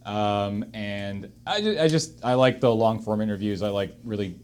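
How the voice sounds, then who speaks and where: A man hosting a casual unscripted discussion, speaking haltingly and emphatically and repeating phrases for stress. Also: on the low side, animated, a little mellow. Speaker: host of a panel discussion. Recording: clear room noise behind.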